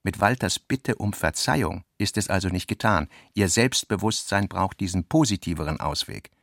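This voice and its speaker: A man's voice: low-pitched, expressive, normal speed, vocal fry, fairly bright tone, dry recording, clean studio sound. A man narrating literary prose as the narrator of a radio drama.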